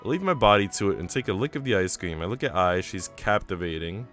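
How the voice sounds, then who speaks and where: A man's voice: sits low, animated, fast, fairly bright tone, soft background music. A man reading a visual novel aloud on a stream, mixing narration with casual, chatty remarks to viewers. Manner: chatty asides between narrated passages. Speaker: game streamer.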